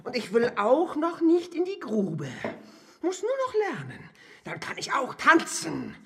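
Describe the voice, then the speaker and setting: Despairing crippled man in a radio play, slow and very swoopy, mocking then lamenting. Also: extremely high pitch, huge pitch swings, vocal fry, exaggerated voice. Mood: defiant. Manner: theatrical, swinging between mockery and lament. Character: bitter crippled husband in a nightshirt, despairing, mocking. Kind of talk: intense German radio play acting.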